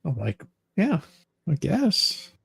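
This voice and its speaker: A man's voice: a little high, wildly swooping pitch, takes it slowly, vocal fry, husky, no echo. A man with a sardonic manner, bantering casually and reading letters aloud as a host.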